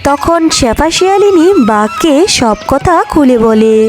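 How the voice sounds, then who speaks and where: A woman's voice: high-pitched, animated, unhurried, faint music behind. A woman narrating a scripted children's cartoon story.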